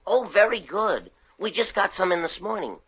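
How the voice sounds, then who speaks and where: An actor playing a joking, quick-witted former showman working a soda counter in a 1950s radio drama. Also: high-pitched, sing-song, a bit bright, husky, heavily acted. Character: wisecracking ex-showman soda jerk. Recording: dull old radio recording.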